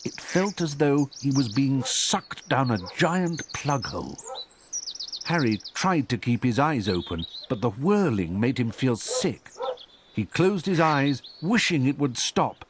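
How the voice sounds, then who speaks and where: A man's voice: mid-range voice, big pitch swings, a little slow, noisy background. A man acting as storybook narrator for a video game, reading a scripted wizard tale. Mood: storytelling.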